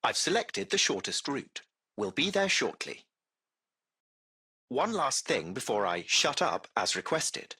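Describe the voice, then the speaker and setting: A man voicing a servile, impeccably polite artificial intelligence taxi driver in a video game, with formal, ornate, measured diction. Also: mid-range voice, sing-song, creaky, bright, forceful tone, slightly rough, heavily acted. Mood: courteous, businesslike. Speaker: AI driver and concierge.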